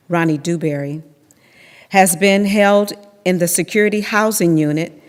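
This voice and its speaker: A woman in middle age reads out a personal testimony. Her voice sits a bit low and echoes around a hall. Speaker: reader in a readers theater. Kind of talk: scripted first-person testimony reading.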